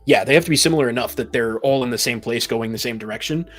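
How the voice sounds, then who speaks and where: A man's voice: medium pitch, fast, a crowd in the background. A man co-hosting a casual podcast discussion with a wry, joking way of talking. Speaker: podcast co-host. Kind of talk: casual discussion of tabletop roleplaying.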